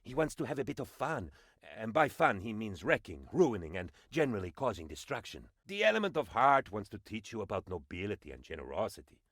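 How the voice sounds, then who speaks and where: Animated, fawning island advisor. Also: male voice, lowish voice, brisk, dry recording, studio quality, heavily acted. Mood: earnest, advising. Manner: theatrical, flattering, melodramatic. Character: excitable, fawning male presidential advisor on tropical island. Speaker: video game character voice actor. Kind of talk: animated video game voice lines.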